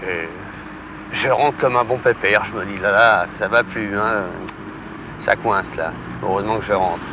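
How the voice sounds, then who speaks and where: A man, a taxi driver talking colloquially and haltingly in an interview, repeating his words. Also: on the low side. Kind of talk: spontaneous street interview. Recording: 1960s archive, clear traffic noise. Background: street and vehicle noise.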